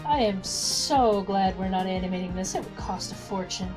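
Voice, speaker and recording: A woman playing a practical gnome adventurer with a wry, brisk, expressive delivery. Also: medium pitch, loud music behind the voice, natural voice, poor audio.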